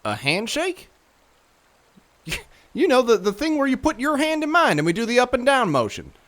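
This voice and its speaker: A man's voice: very high-pitched, huge pitch swings, moderate pace, fairly bright tone, full character voice. A man playing an awkward, stammering young crewman. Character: awkward, earnest young man, a crew member.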